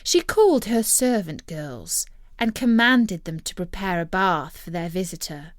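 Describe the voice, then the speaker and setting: A woman telling a story as scripted narration, in an expressive and deliberate manner. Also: fairly low pitch, no echo, studio quality.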